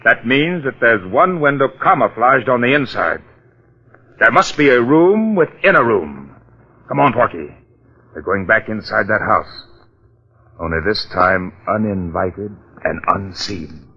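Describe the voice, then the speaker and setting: An actor playing a brisk, bold police investigator, urgent and commanding, in an old-time radio mystery. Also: male voice, lowish voice, animated, husky, heavily acted. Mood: intrigued. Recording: dull old radio recording.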